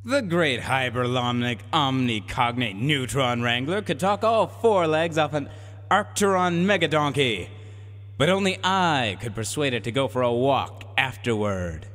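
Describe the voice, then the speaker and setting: A man's voice: medium pitch, lively intonation, unhurried, a bit bright. A man playing a pompous, haughty supercomputer in a science fiction radio drama, declaiming in grandiose archaic phrases. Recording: long reverberant hall echo.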